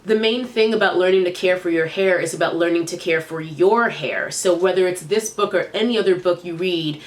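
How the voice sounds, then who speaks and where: A woman's voice: on the low side, a little fast. A young woman reviewing hair products in a chatty, upbeat vlog, sounding congested and nasal from a cold. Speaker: beauty vlogger. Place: small room indoors.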